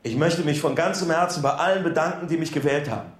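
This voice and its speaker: A man acting an ordinary middle-aged townsman in a radio play, making an unpolished, earnest speech. His voice sits a little high and rings through an echoey hall. He is humble and a reluctant speaker. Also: quick pace, natural voice. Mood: heartfelt. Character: plain-spoken ordinary Welsh townsman, humble reluctant political candidate. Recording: echoey public hall sound.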